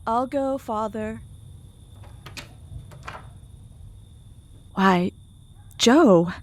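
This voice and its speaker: Lady doing a sweet innocent young gal in an old radio suspense play, soft and gentle, takes her time. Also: a little high, drawn-out words, slight background noise. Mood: hesitant, pleased. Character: sweet, trusting, soft-spoken young woman.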